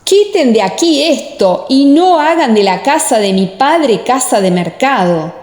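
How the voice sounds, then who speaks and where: A solemn teacher speaks with authority in a Bible audio drama , voiced by a woman. The delivery is emphatic and declarative. The pitch is on the higher side. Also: animated, a little slow, darkish tone, roomy sound, long reverb tail. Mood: indignant.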